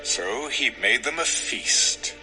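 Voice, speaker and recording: A male narrator tells a scripture story over clear music. His mid-pitched voice moves expressively up and down, and his delivery is measured and scripted. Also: lively intonation, low-quality recording.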